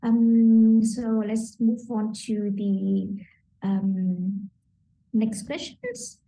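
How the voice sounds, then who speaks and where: Brief: female moderator, mellow tone, hesitant fillers, roomy and distant recording. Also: medium pitch, steady tone, extremely slow. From Vietnam. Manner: hesitant, many "um" fillers while shaping questions. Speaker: host, moderator. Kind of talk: moderating a panel discussion.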